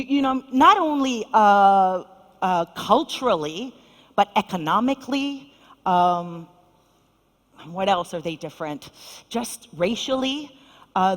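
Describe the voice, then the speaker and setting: A middle-aged woman moderating a conference panel, speaking upbeat and directly to the audience. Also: a little high. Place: conference hall stage. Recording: long reverb of a large hall.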